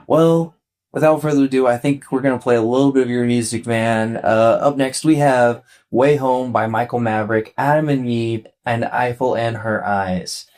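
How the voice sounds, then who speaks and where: A man's voice: mid-range voice, steady tone, normal speed, darkish tone. Radio host, male, mid-range voice, casual and laid-back interviewer. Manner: informal, laid-back, says "man" often. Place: college radio studio.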